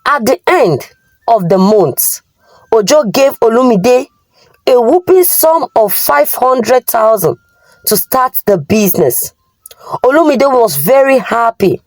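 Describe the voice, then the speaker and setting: A Nigerian-accented woman telling a moral folktale in short, deliberate phrases. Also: on the high side, very animated, a little mellow, dry recording, poor audio. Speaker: storyteller.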